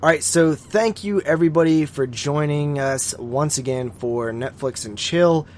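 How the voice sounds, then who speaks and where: A man's voice: a little high, a little slow, darkish tone, faint music behind. A man co-hosting a podcast in casual banter, swearing freely, teasing and given to exaggeration. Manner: profane, teasing. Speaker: podcast co-host.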